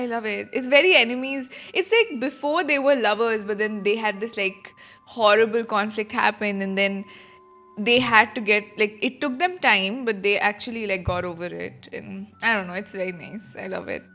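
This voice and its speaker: A woman's voice: fairly high pitch, sharp tone. A woman streaming a game with casual, gushing, rambling commentary over game music.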